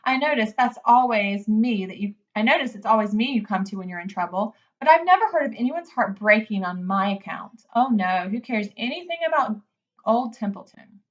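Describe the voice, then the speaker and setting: A woman's voice: medium pitch, animated, moderate pace, lo-fi sound, close to an everyday voice. Woman voicing a grumbling, sarcastic old storybook rat. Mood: mocking self-pity. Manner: mocking, complaining. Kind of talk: children's storybook character reading.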